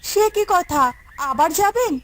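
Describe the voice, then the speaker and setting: A woman playing a practical elder co-wife in a village cartoon, earnest and expressive. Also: very high-pitched, a little slow, a little hiss or hum. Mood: concerned. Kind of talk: cartoon voice acting.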